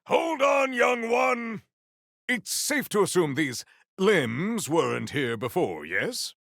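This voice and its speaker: A man's voice: high voice, big pitch swings, creaky, a bit bright, dry recording, clean studio sound, full character voice. A man voicing a stern, formal warrior in a video game, proud and battle-hungry, with grave and eloquent diction. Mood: roaring, fierce, then grave, composed. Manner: formal, measured, ceremonious diction, few contractions. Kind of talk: video game character voice lines.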